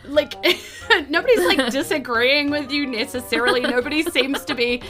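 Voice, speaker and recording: A woman with a New Zealand accent leads a tabletop game. Her voice sits fairly high and has a clear forward brightness. She narrates informally and encourages the players in a playful way. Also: normal speed, soft background music. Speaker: game master. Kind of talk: casual tabletop roleplay narration.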